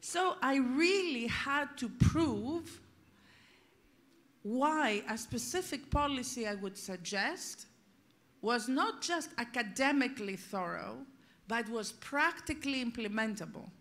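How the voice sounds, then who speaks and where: A woman's voice: on the high side, animated, slow pace, a bit bright. A woman explaining as a panelist, emphatic and direct, posing rhetorical questions to her listeners. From Greece. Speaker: panelist, policy expert. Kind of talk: panel discussion, unscripted explanation.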